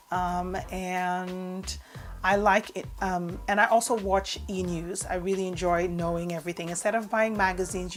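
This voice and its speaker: A woman in her forties, a native Portuguese speaker, talks through a get-to-know-me video at home in a fairly low voice. She reads each question aloud, then answers in a relaxed, chatty way with frequent "um"s. Soft music plays faintly behind her. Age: 40s. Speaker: vlogger.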